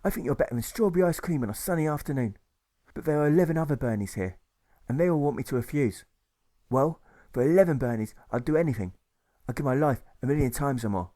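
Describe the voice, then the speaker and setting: A man voicing an earnest, devoted conscientious objector who is gently defiant, in an audiobook. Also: mid-range voice, lively intonation, quick pace, lightly acted.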